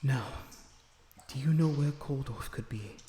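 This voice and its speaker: A man playing a gentle, earnest vampire prisoner in an ASMR roleplay, soothing and pleading in a breathy, slightly formal way. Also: mid-range voice, measured pace, slight background noise, natural voice. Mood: resolved. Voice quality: breathy, often dropping to a whisper. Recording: roomy echo on the voice.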